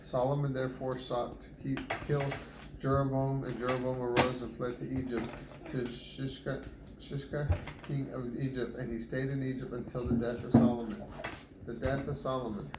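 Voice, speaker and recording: Man, home Bible reader, steady flat delivery, trips over names; echoey room with noise behind. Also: mid-range voice, moderate pace. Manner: stumbles and restarts on hard names. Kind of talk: reading scripture aloud.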